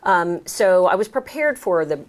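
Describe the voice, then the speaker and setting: An American woman with a slightly low voice talks as a guest in a casual interview. She recounts her experiences in a chatty, expressive way, often acting out what was said. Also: measured pace. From United States. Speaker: interview guest, American who moved to France. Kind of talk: casual conversational storytelling.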